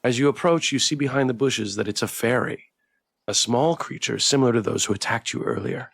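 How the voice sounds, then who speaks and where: Gravelly male game streamer reading aloud. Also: medium pitch, a little fast, fairly bright tone, no room sound. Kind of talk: narration with casual live commentary. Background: background music.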